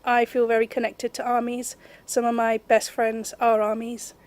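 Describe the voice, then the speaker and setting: A woman's voice: on the high side, monotone, moderate pace, darkish tone. A woman in her thirties answering interview questions plainly. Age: 30s.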